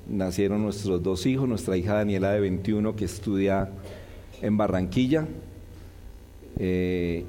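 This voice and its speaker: Slightly hoarse preacher in a reverberant hall. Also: male voice, fairly low pitch, mellow, a little hiss or hum. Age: middle-aged. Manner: didactic, repeats and lists key points in measured phrases. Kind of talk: expository Bible sermon. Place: large church hall.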